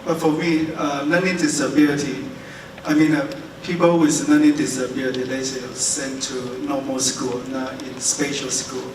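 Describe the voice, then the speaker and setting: A man's voice: mid-range voice, little pitch movement, moderate pace. A man, a conference speaker answering questions from the floor off the cuff. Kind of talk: unscripted question-and-answer talk. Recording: echoey hall, distant mic, noisy.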